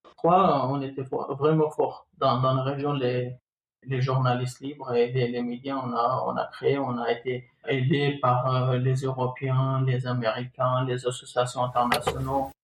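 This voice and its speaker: A man, speaking haltingly and repeating words as he tells his story. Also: mid-range voice, little pitch movement, slight background noise. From Iraqi Kurdistan. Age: 30s. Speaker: interviewee. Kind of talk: personal testimony. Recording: echoey room.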